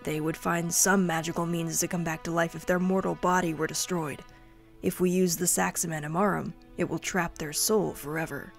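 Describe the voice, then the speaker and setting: A woman's voice: lowish voice, level tone, normal speed, vocal fry, soft background music. A woman playing a hard-bitten, skeptical rebel fighter in a fantasy audio drama, blunt and dismissive. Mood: grim.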